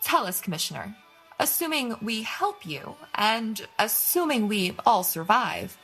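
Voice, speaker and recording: An actress playing a shrewd, poised crime boss in an audio drama, husky, polite and measured. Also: female voice, on the high side, big pitch swings, fairly bright tone, faint music behind, full character voice, rough recording. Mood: coolly calculating. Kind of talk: modern audio drama acting.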